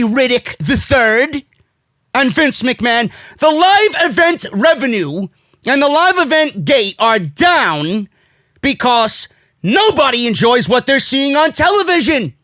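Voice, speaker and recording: Loud high-pitched New York man, wildly animated. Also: no echo, studio quality. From United States. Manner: brash, profane, indignant, emphatic, repeats phrases for effect. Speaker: wrestling commentary host. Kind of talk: opinionated rant commentary.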